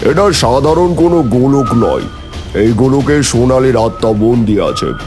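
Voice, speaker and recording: A man's voice: mid-range voice, lively intonation, unhurried, close to an everyday voice. A man playing a stern, cryptic tantric mystic who gives solemn warnings in a horror cartoon. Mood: solemn, instructing. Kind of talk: Bengali horror cartoon voice acting. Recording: music behind the voice.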